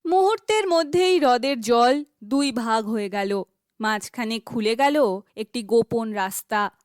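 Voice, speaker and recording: A woman narrating a scripted fairytale for an animated children's story. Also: sits high, no echo.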